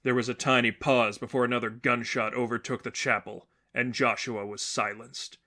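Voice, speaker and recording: Guy reading an action story out loud, mid pitched voice, dramatic narrator style. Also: flat delivery, moderate pace. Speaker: narrator reading fan fiction.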